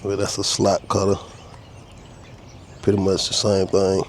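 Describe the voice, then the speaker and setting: Man, low voice, head cold, laid-back workshop how-to talk, faint outdoor sounds. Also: monotone, a little slow, a little hiss or hum. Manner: easygoing, talks while working, explains each tool. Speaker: custom car restorer demonstrating a repair. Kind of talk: casual step-by-step how-to narration.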